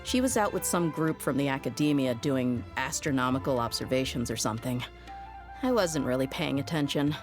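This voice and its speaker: Young female game mercenary: low-pitched, blunt, loyal, offhand delivery, music underneath. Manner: casual, frank, offhand. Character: tough, easygoing young desert mercenary woman, loyal and blunt. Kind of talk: video game character voice lines.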